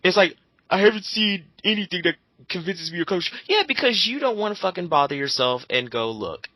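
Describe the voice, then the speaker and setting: A man rants casually with a combative, sarcastic bite. His pitch swings widely and his tone is sharp and forceful. The sound is very dry and he swears freely. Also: lively intonation, a little fast, no echo. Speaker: co-host commenting. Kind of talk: casual ranting commentary.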